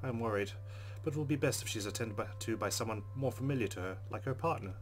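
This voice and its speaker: A man's voice: medium pitch, quick pace. A man hosting a let's play, reading game narration aloud expressively and adding casual commentary. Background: game music.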